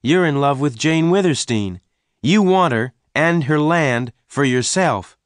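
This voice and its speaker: A man's voice: mid-range voice, normal speed, no echo, clean studio sound, voice pushed up, natural voice. A man voicing a bold, insistent young cowboy who stands his ground in a western story. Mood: taunting. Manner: insistent, defiant.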